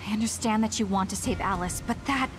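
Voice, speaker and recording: An actress playing a resolute young soldier heroine in a video game, firm, direct and controlled. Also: female voice, medium pitch, fast talker, over music, close to an everyday voice. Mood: firm challenge. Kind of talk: dramatic video game acting.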